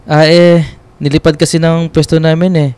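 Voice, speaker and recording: Actor as a friendly, hesitant young Filipino man for radio romance, smooth voice, close dry sound. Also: a little high, little pitch movement, moderate pace, darkish tone, dry recording, close to an everyday voice. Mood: shy, friendly. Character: polite, shy, friendly young man in a love story. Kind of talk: radio drama acting.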